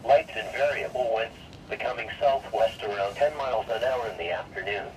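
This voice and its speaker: A man's voice: lowish voice, normal speed. A male weather radio announcer with a synthesized, formal and impersonal delivery, reading a scripted forecast over the radio. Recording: noisy, distant-sounding weather radio broadcast.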